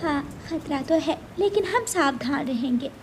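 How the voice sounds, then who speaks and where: A woman's voice: high-pitched, expressive, a little slow, noisy background. A woman voicing a protective, worried mother bird, earnest and motherly, in a children's cartoon. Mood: worried, tender.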